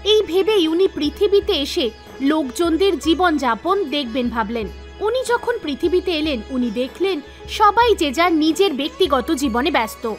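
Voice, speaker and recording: A woman narrator reading a scripted animated story for children. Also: high-pitched, moderate pace, faint music behind.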